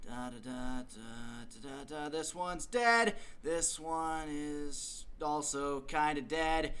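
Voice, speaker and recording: A man's voice: a little high, slow pace, a bit bright, clear, resonant tone. A man voicing a brash, foul-mouthed, sarcastic teenage delinquent boy in an anime parody dub. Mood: musing. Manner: cocky, sardonic.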